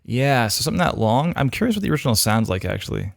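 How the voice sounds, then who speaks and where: A man's voice: medium pitch, very animated, fast talker, vocal fry, heavy background noise. A man hosting a livestream, casually thinking aloud as he gives frank critique of demo reels on a close mic. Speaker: host reviewing demo reels.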